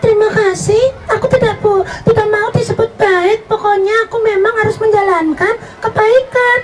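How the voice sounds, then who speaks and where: A storyteller voicing a pious, stubborn, innocent little girl in a live children's story, with exclamations and drawn-out words. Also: very high-pitched, fairly even intonation, a crowd in the background, voice pushed up. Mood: earnest. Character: pious, honest little girl minding a poultry farm.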